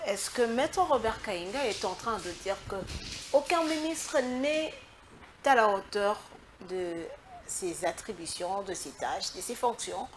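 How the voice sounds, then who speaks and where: A woman's voice: mid-range voice, lively intonation, a little slow, a little hiss or hum, low-quality recording. A woman hosting a political interview and putting questions to her guest. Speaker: interviewer, host.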